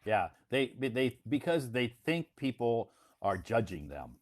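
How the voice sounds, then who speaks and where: An American man hosting a casual English conversation lesson, talking in a relaxed, reassuring way and often repeating himself. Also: medium pitch, measured pace. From United States. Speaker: English teacher and host.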